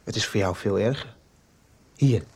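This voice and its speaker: A man's voice: lowish voice, moderate pace, noisy background, natural voice. An actor playing an earnest, protective, slightly awkward teenage boy in a 1990s radio soap. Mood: concerned, shy.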